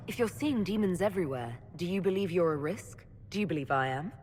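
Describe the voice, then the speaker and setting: An actress playing a composed, direct elf mage heroine in a video game. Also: female voice, fairly low pitch, lively intonation, a little fast, darkish tone, close to an everyday voice. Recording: reverberant room, faint background noise.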